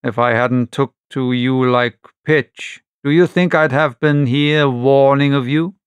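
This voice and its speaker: A man's voice: medium pitch, fairly even intonation, measured pace, clean tone, no room sound, natural voice. A man voicing a sly, coaxing older pirate ship's cook with folksy sailor's speech, in a storybook reading. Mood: coaxing, urgent.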